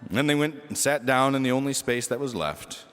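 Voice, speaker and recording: Animated male storyteller in an echoey hall. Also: mid-range voice, clean tone, soft background music. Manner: animated, dramatic pauses and emphasis. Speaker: storyteller reading a children's book aloud. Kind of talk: expressive scripted narration of a humorous story.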